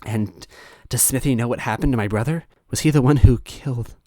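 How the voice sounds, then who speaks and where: A man's voice: medium pitch, a little fast, a little mellow, dry recording, pitched above the performer's own voice, natural voice. A man voicing an anxious, earnest young female mushroom companion in a story reading, breathless and hesitant. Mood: breathless, urgent.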